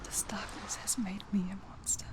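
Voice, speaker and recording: A woman playing a troubled, self-doubting young woman in a video game, hushed, breathy and anguished. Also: mid-range voice, steady tone, moderate pace, in a whisper, lightly acted. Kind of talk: dramatic game acting.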